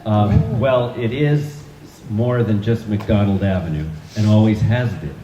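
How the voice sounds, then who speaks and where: A man's voice: on the low side, measured pace, warm tone, reverberant, highs cut off, a little hiss or hum. A man, an author and guest from Northern California, talking onstage in an easy, joking way with many fillers. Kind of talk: onstage conversation. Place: event hall with an audience.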